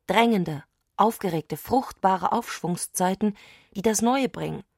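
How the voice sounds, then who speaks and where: An actress playing an intense, mentally fragile young wife with visionary ideas in a radio drama, speaking with urgent exaltation. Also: female voice, medium pitch, unhurried, vocal fry, breathy edge, dry recording, studio quality. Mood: exalted, urgent.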